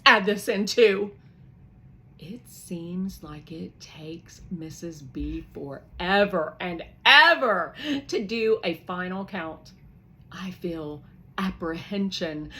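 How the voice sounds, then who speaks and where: A woman reading a children's novel aloud with lively expression, pausing to explain words to young listeners. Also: mid-range voice. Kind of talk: expressive read-aloud for kids.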